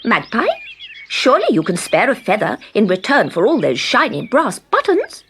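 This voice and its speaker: A woman's voice: fairly high pitch, wildly swooping pitch, moderate pace, a bit bright, slightly rough, voice pushed up, exaggerated voice. A bossy little thrush from a children's story, acted by a woman. It scolds sharply in a raised, indignant voice that climbs with each reproach.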